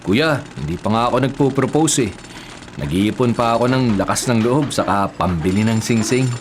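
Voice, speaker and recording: A man's voice: medium pitch, lively intonation, moderate pace, lightly acted. A man playing a patient, put-upon younger brother in a Filipino radio drama, with a Filipino accent and a mild, respectful, grumbling way of talking. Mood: bashful. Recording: noisy bed of construction and rain effects.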